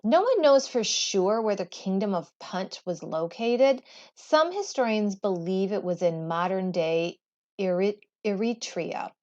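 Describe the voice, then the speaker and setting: A woman teacher reading a storybook aloud with expressive, deliberate, emphatic phrasing. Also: medium pitch, lo-fi sound.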